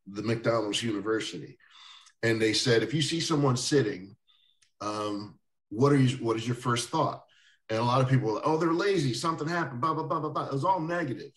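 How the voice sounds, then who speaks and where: Male interview host, easygoing and rambling, mid pitch, recorded off-mic in a roomy space. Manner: rambling, with many restarts and soft laughs. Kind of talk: casual interview chat. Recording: roomy echo, distant mic.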